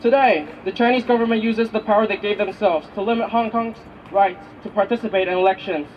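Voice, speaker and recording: A man with a notably high voice delivers a prepared, formal speech to a rally. He sounds distant from the mic, on a muffled recording with faint noise. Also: fairly quick. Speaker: rally speaker for a youth group. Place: outdoor city street rally.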